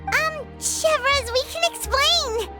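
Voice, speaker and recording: A woman voicing a small, excitable fairy companion in a video game, with a squeaky childlike voice. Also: slow pace. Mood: nervous. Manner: exclamatory, refers to self in third person. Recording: loud music behind the voice.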